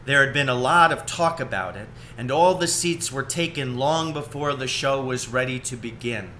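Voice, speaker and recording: A man's voice: mid-range voice, normal speed, bright, forceful tone, a little hiss or hum. A man in his forties reading a children's storybook aloud, recorded indoors at home on a webcam.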